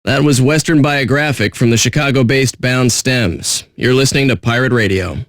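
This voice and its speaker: An actor playing a jaded pirate radio DJ with a sardonic, blunt, profane way of talking. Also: male voice, mid-range voice, moderate pace, fairly bright tone, no echo, natural voice. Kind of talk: irreverent radio DJ patter.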